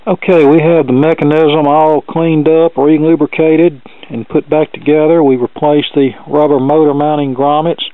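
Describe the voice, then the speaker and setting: A man's voice: fairly high pitch, little pitch movement, moderate pace, hardly any pauses. A man giving a casual, matter-of-fact explanation of a hands-on repair, with a dry sense of humor, recorded in a workshop on a camera mic. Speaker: hobbyist repairer presenting a demonstration. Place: indoor cluttered electronics workshop. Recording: muffled, narrow phone-like sound.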